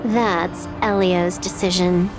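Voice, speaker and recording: Casting: enigmatic female agent, anime-style game, slightly low voice, calm and formal, music clearly underneath. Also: takes it slowly, low-quality recording. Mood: cool, matter-of-fact. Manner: calm, matter-of-fact, formal. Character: cool, enigmatic female agent delivering fated prophecy.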